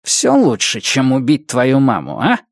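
A man voicing a small, vengeful, cursed fanatic in an audiobook story, with slow, drawn-out threats. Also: medium pitch, huge pitch swings, dry recording, studio quality. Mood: menacing, ranting.

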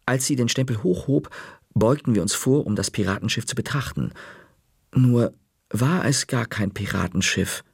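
A man narrating a children's story in a lively, expressive audiobook reading. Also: mid-range voice, normal speed, vocal fry, husky, dry recording, studio quality.

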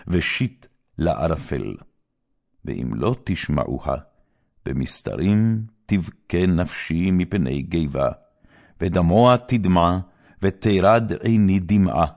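A man narrating scripture in a formal, solemn and measured declamatory style with clear phrasing. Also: low-pitched, a little mellow. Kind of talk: formal scripted Bible reading.

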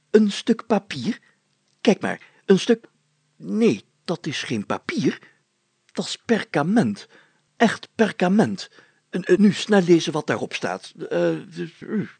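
A man voicing a pompous, excitable town mayor in a children's story, spluttering and stumbling over his words. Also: sits high, slightly rough, dry recording, voice pushed up, heavily acted. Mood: excited, flustered. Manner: spluttering, hesitant, exclamatory, stumbling while deciphering.

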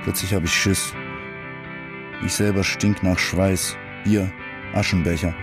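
A rough young working-class guy in a radio drama, his voice on the low side. He tells a tender memory in short, colloquial bursts, with guitar music clearly behind him. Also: flat delivery, a little slow. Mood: wistful, plain. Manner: colloquial, clipped short sentences. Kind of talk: German radio play monologue.

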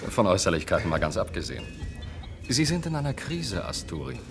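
An actor in a German radio play, playing an ambitious research professor who talks emphatically and persuasively. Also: male voice, sits low, huge pitch swings, fairly quick, over music, full character voice. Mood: concerned, brisk. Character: insistent, ambitious male professor of medical research.

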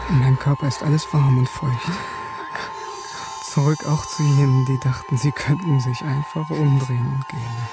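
A man's voice: mid-range voice, natural voice, rough recording. A man acting in a radio drama as an embittered, defiant German army sergeant who narrates his own fate with clipped intensity. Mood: anguished, dying. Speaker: lead actor in radio drama. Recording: music behind the voice.

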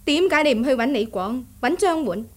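A stubborn aunt from the martial-arts world in a radio drama. Her voice is fairly high, with a firm, measured delivery. She sounds guarded and stern, an elder who will not be moved. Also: animated. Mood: stern, unyielding. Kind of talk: Cantonese radio drama acting.

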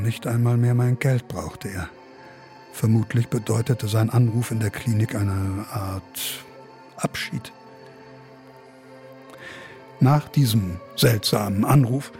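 An actor playing a weary, detached middle-aged academic father, narrating a radio drama in a measured, reflective, melancholic way. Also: mid-range voice, a little mellow. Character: weary, detached academic father brooding over his son.

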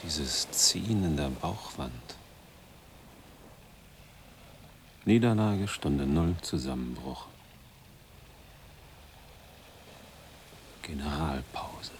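An actor playing a weary, self-mocking man in his forties, brooding in halting fragments in a literary radio drama monologue. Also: very deep voice, slight background noise. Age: middle-aged. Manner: halting, brooding, in short fragments, often dropping toward a whisper. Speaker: monologue narrator.

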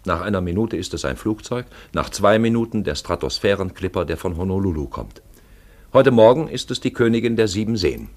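A deep lyrical narrator for an old-style audio play, very animated, dramatic delivery. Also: male voice, brisk. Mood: poetic, dramatic. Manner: dramatic, lyrical, swelling and dropping away. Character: male storyteller, poetic and dramatic radio-play narrator. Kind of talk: scripted literary radio-play narration.

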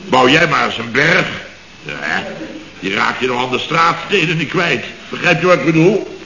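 An actor playing a pompous, boastful building-firm boss in a 1960s radio comedy, rambling and self-satisfied. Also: male voice, medium pitch, lively intonation, moderate pace, vocal fry, crowd noise. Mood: rambling musing. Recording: dull, echoey old radio studio recording.

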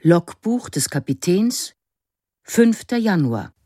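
A female announcer gives scripted radio drama headings slowly. Her voice is dark and lively in melody, recorded dry and close. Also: mid-range voice, dry recording.

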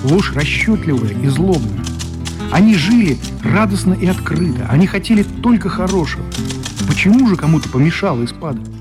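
An actor playing an impassioned journalist who reads out an article in a radio play, solemn, emphatic and declamatory. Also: male voice, high voice, sing-song, fairly quick, over music. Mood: solemn indignation. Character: impassioned journalist voicing his newspaper article. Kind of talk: dramatic reading in a radio play.

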